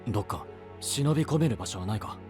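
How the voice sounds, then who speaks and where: A man voicing a blunt, brash young male knight apprentice in an anime voice drama, talking in a rough, casual way. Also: fairly low pitch, expressive, a little fast, music in the background, natural voice.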